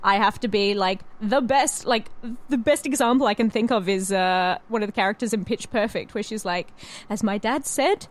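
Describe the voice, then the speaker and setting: A woman's voice: mid-range voice, moderate pace. A woman on a podcast panel, chatting casually about a TV episode and swearing freely.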